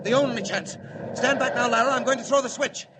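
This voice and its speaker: An actor playing a grave, protective scientist father, with a husky voice in earnest 1940s radio acting style. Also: extremely high pitch, steady tone, fairly quick, noisy background. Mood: urgent. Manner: earnest, theatrical delivery.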